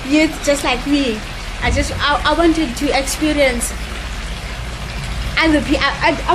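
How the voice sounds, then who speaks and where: High-voiced young South African woman. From Cape Town, South Africa. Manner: short, stumbling answers with repeated words. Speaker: youth camp participant. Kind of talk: on-camera interview answers. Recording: background noise.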